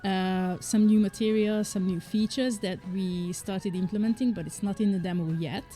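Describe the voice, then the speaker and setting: A woman's voice: mid-range voice, steady tone, moderate pace, warm tone, faint music behind. A woman from Hungary, an indie game developer, chatting casually on a livestream with frequent fillers and light laughs. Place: home, living in the UK.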